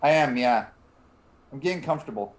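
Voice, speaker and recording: A man's voice: medium pitch, animated, brisk. A man talking casually as a guest panelist on a show. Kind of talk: casual chat.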